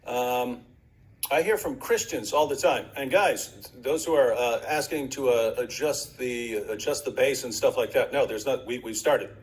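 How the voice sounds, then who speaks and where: A man hosting a livestream interview in a relaxed, chatty way with joking asides. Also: mid-range voice, unhurried, low-quality recording. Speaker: host.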